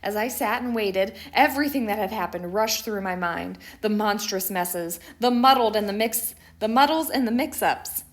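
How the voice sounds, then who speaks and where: A woman voicing a mischievous, dramatic schoolboy who tells his own picture book story with theatrical emphasis and pauses. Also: medium pitch, lightly acted. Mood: building pride.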